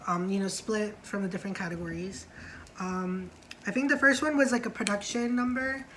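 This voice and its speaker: A woman's voice: medium pitch, fairly even intonation, fairly quick, background noise. A woman hosting a casual review vlog, chatting in a slangy way and often checking for agreement. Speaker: vlog host reviewing a TV show.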